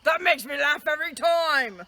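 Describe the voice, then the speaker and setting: A woman's voice: high voice, lively intonation, moderate pace, background noise. A woman hosting a children's story time in a theatrical, playful, exclamatory way. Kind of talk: theatrical children's storytelling, acted skits and jokes.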